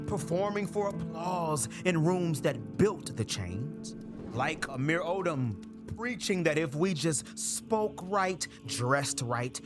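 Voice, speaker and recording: Dramatic spoken-word monologist over music. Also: medium pitch. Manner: emphatic, deliberate, rhetorical phrasing with short punchy lines. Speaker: monologue performer. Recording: voice over a clear music bed.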